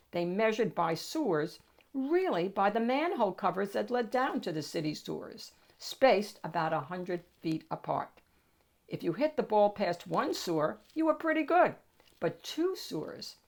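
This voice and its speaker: Lady doing a read aloud of a kids picture book, medium pitch voice, expressive storyteller delivery. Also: creaky. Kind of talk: expressive scripted children's picture book narration.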